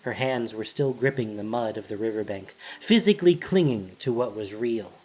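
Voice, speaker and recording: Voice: medium pitch, lively intonation, moderate pace, dark tone, rough recording. A narrator reading a scripted fantasy story in a dramatic storytelling style.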